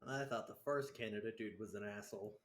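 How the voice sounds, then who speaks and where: A man's voice: fairly low pitch, quick pace, fairly bright tone. A man livestreaming gameplay, reacting and reading aloud in a blunt, sweary way. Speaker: game streamer. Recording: roomy echo, faint background noise.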